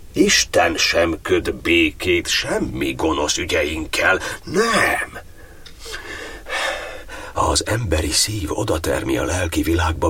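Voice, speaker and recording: An old exiled cardinal in a radio drama, with an extremely deep, badly husky voice. He declaims solemn verse with anguish and unbending faith, moving between impassioned oratory and breathy murmurs. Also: a bit bright, exaggerated voice. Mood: indignant, anguished, then fervent, pleading. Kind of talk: theatrical radio-play monologue in solemn verse.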